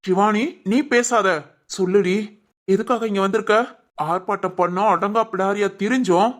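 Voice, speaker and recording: A woman's voice: medium pitch, fairly even intonation, moderate pace, lightly acted. A woman playing a bitter, angry middle-aged father in a family audio drama, scolding and accusing. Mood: scornful.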